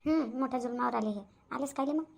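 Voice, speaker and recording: A woman's voice: sits high, quick pace, creaky, darkish tone. A woman voicing a proud, grumbling grandmother in a cartoon family drama, speaking with a Vidarbha Marathi accent. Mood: sneering. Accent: Vidarbha Marathi (Varhadi). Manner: nagging, complaining, muttering asides. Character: proud, grumbling, boastful grandmother and mother-in-law.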